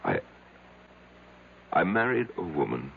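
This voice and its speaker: An actor playing a broken, insecure middle-aged husband in a 1970s radio drama, halting and anguished, repeating his words. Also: sits low, sing-song, gravelly, slight background noise, full character voice. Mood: halting, pained. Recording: muffled old radio broadcast with music.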